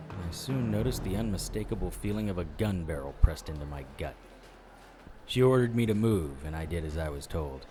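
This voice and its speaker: An actor playing a wry, calm, hard-boiled private investigator in a noir audio drama, speaking in a measured, deadpan way. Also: male voice, low-pitched. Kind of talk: first-person noir audio drama narration. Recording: music behind the voice.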